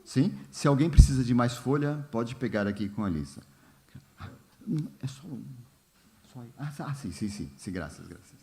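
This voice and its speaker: A man leading a church Bible study in a relaxed, informal way, often pausing with fillers and asking the congregation questions. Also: mid-range voice, a little hiss or hum. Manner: unhurried, many fillers and "né?", asks the congregation questions. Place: church hall.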